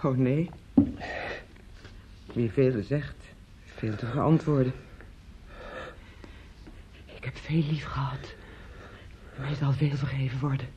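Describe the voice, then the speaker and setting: A woman's voice: rumbling low, steady tone, slow pace, pauses often. A woman acting in a Dutch radio play as a worldly, sorrowful middle-aged woman, with a theatrical, lamenting delivery. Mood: tender, rueful. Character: worldly, sorrowful older woman consoling a young man. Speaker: radio drama actor. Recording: muffled, noisy old radio play recording.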